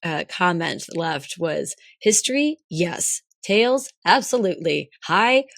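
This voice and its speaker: Hoarse young woman co-host, low voice, dry close sound, chatty and rambling. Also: very animated, slow pace, fairly bright tone, dry recording. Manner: chatty, laughs often, rambling restarts. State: hoarse, recovering lost voice. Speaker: podcast co-host. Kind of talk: casual chat.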